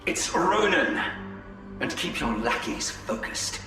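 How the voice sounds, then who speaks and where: An actor playing a sly, haughty space-elf schemer in a video game, measured and condescending. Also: male voice, on the high side, very animated. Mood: haughty, controlled. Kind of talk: video game character acting. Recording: heavy echo, distant, music behind.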